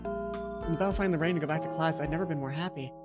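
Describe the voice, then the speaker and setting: A let's play host with a voice pitched between typical male and female ranges reads a visual novel aloud. The delivery swings between dramatic narration and offhand commentary, with clear game music underneath. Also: fast talker. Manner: dramatic read-aloud mixed with offhand asides, stumbles over lines. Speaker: gaming video host.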